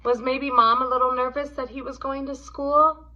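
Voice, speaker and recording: A woman reading a story aloud to young children in an expressive, teacherly way. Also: sits high, moderate pace. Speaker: storybook reader. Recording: dull, lo-fi sound.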